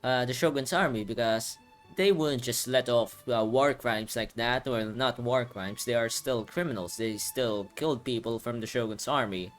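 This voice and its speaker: A man's voice: mid-range voice, moderate pace, faint music behind. A man giving casual, rambling video game playthrough commentary, thinking aloud as he hosts. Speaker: gaming video host.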